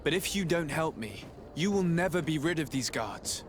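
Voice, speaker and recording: An actor playing a composed, insistent noble legate in a video game, speaking formally and persuasively. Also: male voice, a little high, lively intonation, normal speed, noisy background, lightly acted. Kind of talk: game cutscene acting.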